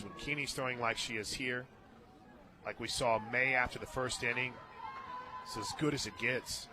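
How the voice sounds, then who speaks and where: An American male radio announcer calls softball live from an outdoor booth. His delivery is conversational, dropping into short, clipped play calls, with clearly audible stadium noise behind him. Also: mid-range voice. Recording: very noisy outdoor ballpark booth.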